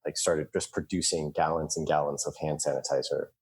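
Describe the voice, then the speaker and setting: A man co-hosting a podcast, talking casually in halting phrases with many restarts. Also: very deep voice, flat delivery, a little mellow, slightly rough. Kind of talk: casual chat.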